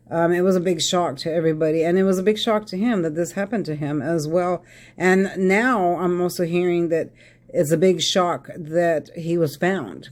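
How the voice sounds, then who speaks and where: A raspy-voiced woman, chatting casually to viewers as a tarot reader, congested from a head cold. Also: on the low side, darkish tone. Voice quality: raspy, crackly voice. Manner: chatty, addresses viewers as y'all. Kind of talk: casual psychic reading vlog.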